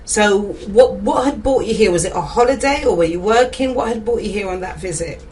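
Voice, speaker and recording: Upbeat female radio host, mid-pitched, recorded slightly off-mic. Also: brisk, darkish tone, distant mic, a little hiss or hum. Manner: upbeat, laughs often. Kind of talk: radio interview.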